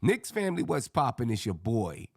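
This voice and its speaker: A man with a hoarse, scratchy voice hosting a casual sports fan panel in slangy, colloquial talk. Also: medium pitch, big pitch swings, moderate pace, over loud music.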